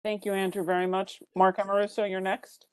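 A woman chairing a video-call board meeting, brisk and upbeat as she calls on speakers. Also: medium pitch, level tone, darkish tone, clear, resonant tone, dry recording.

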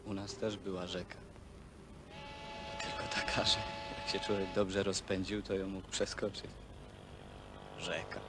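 Casting: resigned young country lad turned worker, low voice, terse broken phrases, noisy old film soundtrack. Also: male voice, level tone, a little slow, pauses often. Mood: guarded, flat. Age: young adult. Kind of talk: naturalistic Polish film dialogue.

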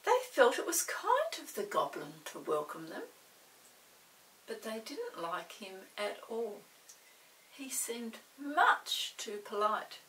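A woman reading a children's story in an expressive, measured way. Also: fairly high pitch, distant mic, rough recording. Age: 60s. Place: small indoor set with dark backdrop.

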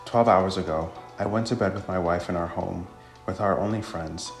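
A man's voice: sits low, moderate pace. A man voicing a despairing husband in a visual novel game, weary, bitter and skeptical. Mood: despairing, resigned. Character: despairing husband robbed of his wife, bitter and doubtful. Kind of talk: amateur visual novel voice acting. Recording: roomy with faint music.

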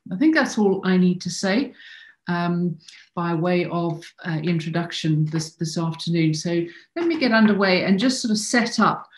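A woman in her fifties from South Africa giving an online conference talk from home over a webcam, hesitant with many fillers and repeated words. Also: on the low side. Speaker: conference speaker. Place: home room with shelves. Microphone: video call webcam. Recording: echoey room sound.

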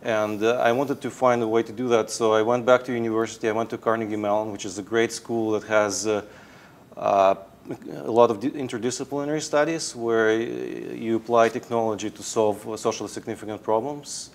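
A man's voice: lowish voice, steady tone, fairly quick. A man in his thirties from Russia with a Russian accent, answering interview questions hesitantly with many fillers. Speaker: guest, tech founder. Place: indoor studio set. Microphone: lapel.

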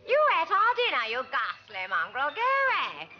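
A woman's voice: sits high, huge pitch swings, moderate pace, muffled recording, music in the background, pitched above the performer's own voice. A woman voicing grumpy farm animals in a children's storybook, scolding and dismissive. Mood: indignant.

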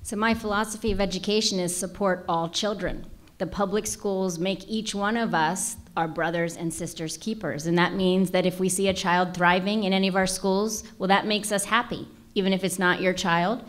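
A woman in her forties, a school board candidate, speaking clearly and directly into a desk mic. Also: medium pitch, steady tone, moderate pace. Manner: clear and direct, with emphatic phrasing. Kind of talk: forum statement. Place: meeting room at a panel table. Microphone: desk mic on a stand.

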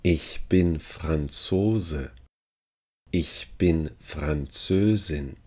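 Guy w a really deep voice reading practice phrases super slow, one at a time. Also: darkish tone. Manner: deliberate, each phrase spoken slowly and repeated. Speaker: language teacher.

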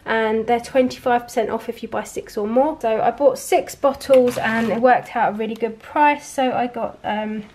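A woman's voice: fairly high pitch, fairly even intonation, normal speed, hardly any pauses, darkish tone. A middle-aged British woman chatting casually and with easy amusement, like a vlogger talking viewers through things at home. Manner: chatty, self-amused, laughs lightly often. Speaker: grocery haul vlogger. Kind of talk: casual chat to camera. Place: indoors at home, at a table of shopping. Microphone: handheld camera, speaker off screen.

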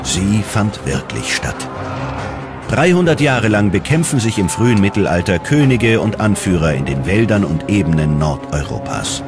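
A man's voice: fairly low pitch, very animated, normal speed, music in the background. A man reading scripted documentary narration in a dramatic storytelling manner.

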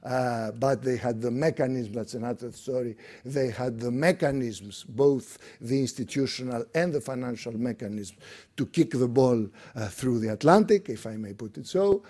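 A man's voice: mid-range voice, normal speed, warm tone. A middle-aged man from Greece with a Greek accent, giving an unscripted conference talk full of fillers and restarts. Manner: hesitant, many fillers and restarts. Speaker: conference speaker. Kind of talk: unscripted lecture. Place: conference room.

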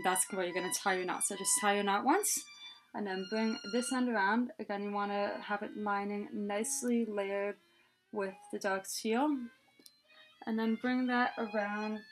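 Young female tutorial host, mid-range voice, chatty step-by-step delivery, small room, soft music underneath. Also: medium pitch, low-quality recording. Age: young adult. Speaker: head scarf tutorial host. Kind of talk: casual hands-on tutorial. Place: small room indoors, plain wall.

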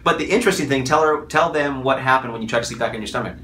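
Animated, joking male chiropractor. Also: mid-range voice, racing, slight background noise. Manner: jokey, animated, talks to viewers. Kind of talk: chatty patient session on camera. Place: small office with framed pictures.

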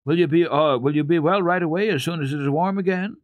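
A man's voice: on the high side, quick pace, no echo, narrow, tinny audio, voice pushed up, natural voice. A man voicing an eager, anxious, tender-hearted little girl with an earnest, questioning manner in a storybook reading.

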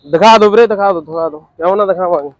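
Punjabi guy selling tractors, talks really quick and high, chatty and persuasive. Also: muffled recording, slight background noise. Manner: persuasive, colloquial, uses rhyming echo words. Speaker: used tractor dealer. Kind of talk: casual sales pitch in conversation.

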